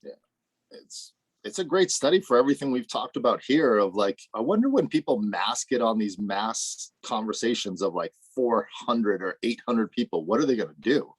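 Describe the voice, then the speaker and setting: A man in a casual group discussion, telling animated, jokey stories and laughing often. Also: medium pitch, normal speed, slightly rough, no echo.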